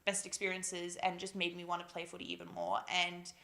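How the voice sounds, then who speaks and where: A young woman with a Malaysian accent, a newly drafted footballer, chatting excitedly in a casual interview at home, with lots of fillers and giggles. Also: lowish voice, fairly quick. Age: young adult. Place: busy house at home.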